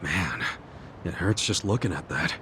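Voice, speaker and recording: An easygoing, teasing hero. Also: male voice, sits low, very animated, a little slow, bright, forceful tone, slight background noise, exaggerated voice. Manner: casual, joking. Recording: game audio with music behind.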